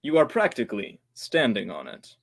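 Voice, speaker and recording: A man's voice: medium pitch, wildly swooping pitch, normal speed, gravelly, exaggerated voice. An easygoing, wisecracking radio player, acted by a man. His mid-range voice jumps about with lots of animation. The delivery follows 1940s radio drama. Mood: wry. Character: Fritz, wisecracking easygoing male radio actor. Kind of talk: old-time 1940s radio play acting.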